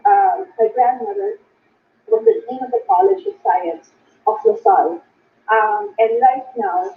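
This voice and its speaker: A young Filipino woman telling her family story in a presentation, slipping Tagalog and Bisaya words into her English. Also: sits high. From Philippines. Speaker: business recruiter sharing her story. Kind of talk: personal testimony presentation. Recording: echoey, distant, phone-like sound.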